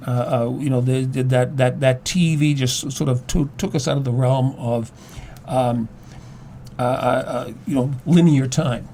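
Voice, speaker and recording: A man speaks as a guest in a relaxed discussion. His voice is dark and mellow at a middling pitch. He explains things haltingly, doubling back on words, with a light layer of background noise. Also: gravelly. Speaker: guest, former English teacher. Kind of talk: casual discussion.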